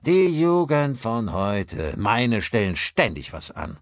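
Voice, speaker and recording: A man's voice: fairly low pitch, sing-song, slow pace, dry recording, clean studio sound, voice pushed up. A man voicing a casual, defensive middle-aged plumber in an audiobook. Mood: defensive, musing.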